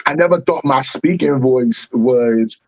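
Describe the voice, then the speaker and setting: Animated raspy Baltimore rapper, gravelly. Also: male voice, measured pace, no echo, rough recording. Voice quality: fairly high voice for his size. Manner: animated, profane, emphatic. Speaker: rapper, guest. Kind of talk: casual podcast conversation.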